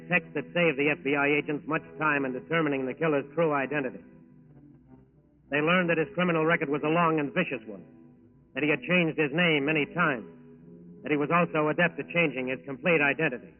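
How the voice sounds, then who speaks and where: A man's voice: a little high, fairly quick. A man with an American accent giving dramatic, emphatic scripted narration for a 1940s radio crime show. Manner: emphatic, repeating phrases. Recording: tinny 1940s radio recording with faint music.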